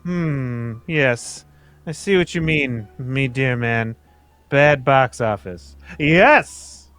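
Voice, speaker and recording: A man puts on a pompous old-fashioned gentleman for a radio play. He is theatrical and excitable, with a mid-range voice that swings widely. Music plays behind him. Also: medium pitch, drawn-out words. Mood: solemn, pompous. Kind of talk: live old-time radio play table read.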